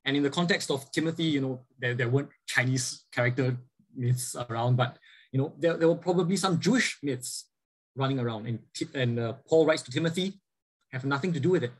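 Earnest husky-voiced male Bible teacher. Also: mid-range voice, fairly quick, low-quality recording. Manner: earnest, asks the audience rhetorical questions; frequent fillers and repeated restarts. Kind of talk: church lecture over slides.

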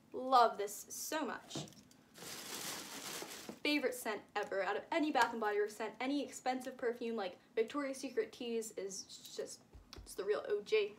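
A woman in her late teens speaks in a fairly high voice, in a casual, rambling vlog style. She gushes and exaggerates as she goes. The recording is homemade, with some noise behind her. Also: moderate pace. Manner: rambling, gushing, uses frequent "like" and hyperbole. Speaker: vlogger showing shopping haul. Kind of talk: casual chatty haul vlog. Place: indoors, small room with curtain backdrop.

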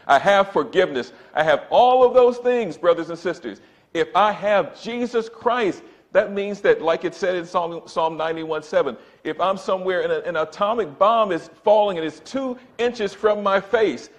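A man in his sixties, a church elder, preaching emphatically from a pulpit and often calling out to brothers and sisters. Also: on the high side. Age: 60s. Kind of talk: sermon. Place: church sanctuary, indoors. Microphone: pulpit microphone, far camera.

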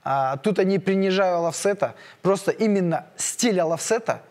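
A man's voice: high voice, lively intonation, moderate pace, a little mellow. A young man, an MMA fighter, talking in a studio press conference, hesitant with many filler sounds, on a lapel mic. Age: young adult. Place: dark studio set, seated in armchair.

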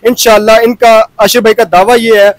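A man's voice: sits high, level tone, a little fast. A man, hosting a casual shop-tour interview in an appreciative manner. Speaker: video host, interviewer. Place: small crowded shop indoors. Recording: faint noise, shop ambience.

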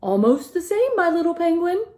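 A woman voicing a patient, matter-of-fact penguin father from a children's picture book, in a gentle, measured manner. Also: very high-pitched, big pitch swings, reverberant, pitched above the performer's own voice. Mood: tender.

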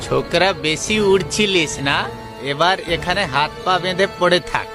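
A man's voice: sits high, normal speed. A man voicing a burly, bullying cattle thief, the boss's henchman, in a children's cartoon, with theatrical villain delivery. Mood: menacing. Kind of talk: Bengali children's cartoon dialogue. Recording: music behind the voice.

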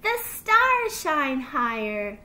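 A woman voicing a curious, excitable young boy fox in a children's storybook, exclaiming with childlike wonder. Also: extremely high pitch, slow pace, pitched above the performer's own voice, heavily acted, low-quality recording. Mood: excited, wondering.

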